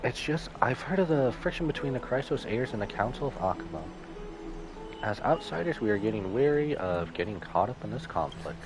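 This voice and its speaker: Calm, guarded young man. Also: mid-range voice. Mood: cautious, diplomatic. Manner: polite, measured, reserved. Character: calm, guarded young male companion, courteous and cautious. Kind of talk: video game dialogue. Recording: game music behind.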